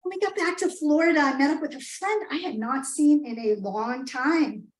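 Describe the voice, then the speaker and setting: High-pitched American woman telling a tall tale. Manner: theatrical, with dramatic pauses. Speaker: speech contestant. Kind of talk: rehearsed humorous storytelling speech. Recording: roomy, echoing live speech pickup.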